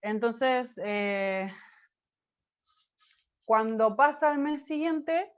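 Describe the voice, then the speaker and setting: A woman from Argentina with an Argentine Spanish accent, telling a personal story as an interview guest, pausing often with fillers and restarts. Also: on the high side, expressive, dull audio. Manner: hesitant, many fillers and restarts. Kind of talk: personal testimony in conversation.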